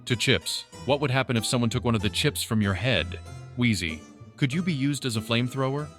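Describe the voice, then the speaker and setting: A man's voice: medium pitch, animated, a little fast, a bit bright, faint music behind. A man reading fan questions aloud as the question reader.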